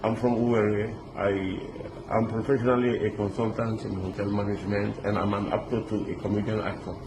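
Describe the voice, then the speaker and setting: A man's voice: fairly low pitch, little pitch movement, brisk. A man from Lebanon, interviewed outdoors, telling his story animatedly and mixing in pidgin English. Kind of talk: street interview recounting. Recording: echoey, with loud ambient noise.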